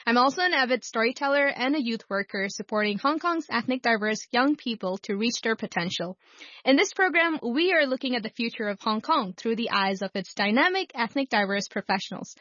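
A woman's voice: fairly high pitch, lively intonation, fairly quick, a bit bright, dry recording, muffled recording. A woman from Pakistan hosting a radio talk show, introducing guests in an upbeat, welcoming way. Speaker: radio host.

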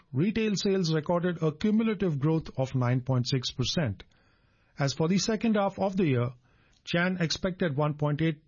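A man's voice: on the high side, darkish tone, breathy edge, dull audio. A man reading a scripted radio news bulletin as the presenter.

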